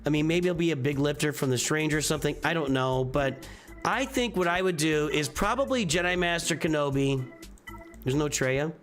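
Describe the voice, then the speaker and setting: A man's voice: a little high, animated, a little fast, fairly bright tone, soft background music. A man hosting a casual live stream, reviewing game rosters in a rambling, offhand way, often correcting himself mid-sentence.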